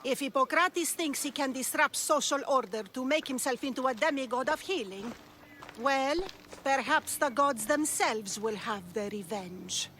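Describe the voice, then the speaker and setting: High, sharp, imperious priestess. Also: female voice, measured pace, a little hiss or hum. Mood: scornful. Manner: haughty, commanding. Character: imperious, threatening female priestess of ancient Greece. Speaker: game character. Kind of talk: video game cutscene acting.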